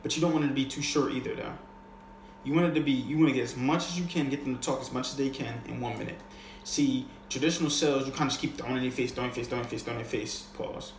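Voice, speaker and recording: A man's voice: mid-range voice, steady tone, racing. Guy giving casual sales coaching off the top of his head, slangy, echoey room, bit of noise. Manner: conversational, slangy, often checks in with the listener. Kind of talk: casual, unscripted instructional talk.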